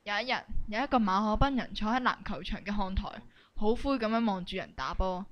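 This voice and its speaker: A woman's voice: mid-range voice, expressive, a little fast. Hong kong cantonese woman hosting a comedy radio bit, mid voice, kinda muffled recording. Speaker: host of a comic radio programme. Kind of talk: scripted instructional comic narration. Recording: dull, lo-fi amateur recording.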